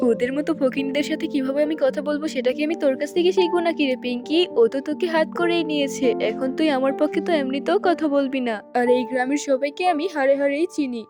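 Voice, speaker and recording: Casting: woman as haughty cartoon mum, quick, scornful scolding, music underneath. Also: high voice, lightly acted. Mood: contemptuous. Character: snobbish, scolding mother of a young girl. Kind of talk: children's cartoon acting. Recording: music behind the voice.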